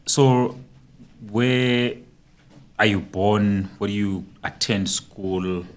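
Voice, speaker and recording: A man hosting a podcast in a relaxed, chatty way, mixing Ndebele and English. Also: fairly low pitch, drawn-out words. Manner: relaxed, chatty, laughs easily. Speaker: podcast host.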